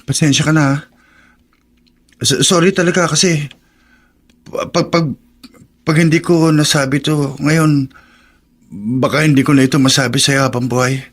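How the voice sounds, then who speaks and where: A humble, lovestruck young man, played by a radio actor, with a slightly high voice. He is shy and hesitant, stumbling over words in a radio drama style. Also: fairly even intonation, normal speed, long pauses, natural voice. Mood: bashful, sad. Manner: hesitant, stammering.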